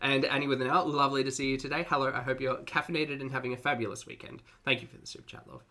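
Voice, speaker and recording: A man's voice: mid-range voice, fairly even intonation, rapid-fire, pushed and bright. An Australian man hosting a casual livestream, chatting to viewers in a playful, talkative way with cutesy slang. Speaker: livestream host and reactor.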